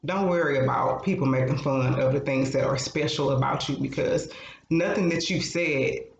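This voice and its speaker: A man's voice: mid-range voice, moderate pace, gravelly. A man co-hosting a casual podcast, giving frank, blunt advice and swearing freely. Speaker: podcast co-host. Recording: roomy, slightly dull sound with faint noise.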